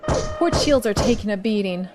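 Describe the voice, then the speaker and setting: An actress playing a frantic starship engineer in the middle of a battle in an audio drama. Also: female voice, medium pitch, animated, moderate pace, natural voice. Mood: panicked, straining. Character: frantic female starship engineer under fire. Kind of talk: science fiction audio drama acting. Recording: loud music and battle effects behind.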